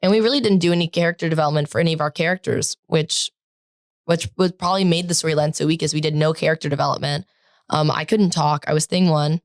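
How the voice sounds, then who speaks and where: A teenage girl co-hosting a podcast in casual chat, talking loudly and rambling with lots of "like" fillers. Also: low voice, fairly even intonation, fast, no room sound, studio quality. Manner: loud, projecting, rambling with many "like" fillers. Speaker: podcast co-host.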